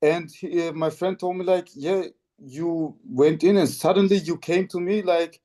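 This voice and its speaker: Mellow-voiced man in his twenties. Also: fairly high pitch, a little slow. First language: Turkish. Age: 20s. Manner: animated storyteller, laughs while telling. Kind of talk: casual chat.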